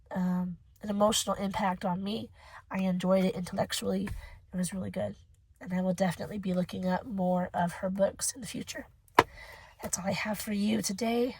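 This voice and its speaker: A young woman with a hoarse voice from a cold, rambling casually as she reviews a book for her channel. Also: mid-range voice, a little mellow, no room sound, rough recording. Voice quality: husky. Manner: chatty, rambling, emotional.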